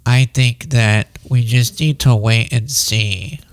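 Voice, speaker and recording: A man putting on a muddled, evasive government official, rambling in circles with a deadpan manner for a commentary show. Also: medium pitch, slow pace, smooth, dry recording, voice pushed down, close to an everyday voice.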